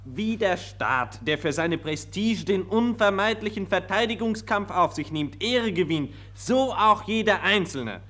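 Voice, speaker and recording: An actor playing an earnest, pompous patriotic optimist, declaiming formally in a 1940s radio play. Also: male voice, high-pitched, animated, unhurried, hardly any pauses, sharp tone, full character voice. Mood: lofty conviction.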